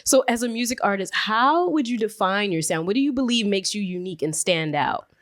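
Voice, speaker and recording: A woman's voice: mid-range voice, animated, fairly quick, a bit bright. A woman hosting a podcast interview in a casual, encouraging, colloquial way.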